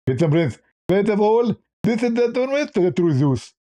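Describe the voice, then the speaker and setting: Man putting on a high-pitched indignant debater. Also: very animated, mellow. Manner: repeats stock phrases, halting and argumentative.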